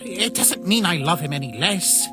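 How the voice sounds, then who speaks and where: Casting: male actor as kind-hearted naive skeleton brother for a comic dub, halting, heartfelt delivery, music underneath. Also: high voice, animated. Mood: hesitant, sincere. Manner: earnest, halting, softly pleading. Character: earnest, gentle, naive male skeleton, caring younger brother. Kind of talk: dramatic comic dub acting. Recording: voice over background music.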